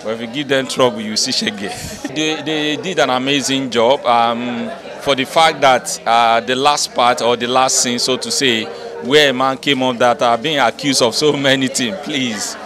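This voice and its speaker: A man's voice: medium pitch, fairly even intonation, moderate pace. A jovial man with a Nigerian accent in an unscripted event interview, repeating himself for emphasis. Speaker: audience interviewee. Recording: busy crowd behind voice.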